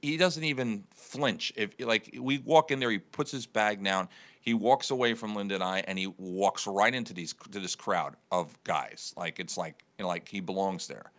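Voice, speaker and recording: Deep-voiced man rambling through a story. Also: moderate pace, a bit bright. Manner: rambling storytelling with many restarts. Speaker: radio show host. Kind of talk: casual morning show chat.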